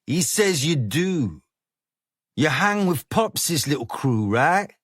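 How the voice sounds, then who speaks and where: Man voicing a cocky gang boss, coaxing slang, higher than his own voice, dry close sound. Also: sing-song, slow pace, fairly bright tone, breathy edge, dry recording, heavily acted. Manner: coaxing street slang, persuasive. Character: cocky flashy male gang leader, smooth and menacing.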